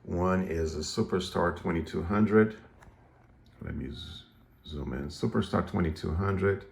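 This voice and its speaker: A man giving a casual, unscripted show-and-tell as a hobbyist, hesitant and halting as he thinks aloud. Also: deep voice, gravelly. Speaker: radio hobbyist presenting a project.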